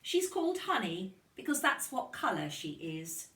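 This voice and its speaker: A woman reading a children's storybook, voicing an eager, excited little girl at school. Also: medium pitch, unhurried. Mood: chatty, proud. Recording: roomy, distant microphone.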